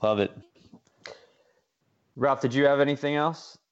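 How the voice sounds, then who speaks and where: A man's voice: mid-range voice, measured pace, clear, resonant tone, muffled recording. An American man hosting a sports talk show, keeping up casual banter with short remarks to his guests.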